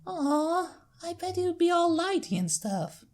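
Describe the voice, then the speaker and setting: A woman voicing an excitable, wide-eyed little girl pony with chirpy, childlike enthusiasm in a story reading. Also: extremely high pitch, slow pace, pitched above the performer's own voice, heavily acted. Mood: wistful.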